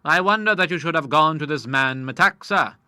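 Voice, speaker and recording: A man's voice: on the high side, fairly quick, bright, forceful tone, clean tone, dry recording, clean studio sound. A man voicing an amiable, polished old lawyer who sprinkles his talk with Latin. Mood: genteel. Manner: genteel, drops Latin phrases. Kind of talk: audiobook character voice.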